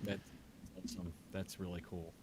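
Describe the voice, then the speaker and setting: A man's voice: deep voice, little pitch movement, normal speed, background noise. A man co-hosting a livestream in casual chat, with a dry, offhand manner. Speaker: co-host of a livestream. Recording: music playing behind the voice. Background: music.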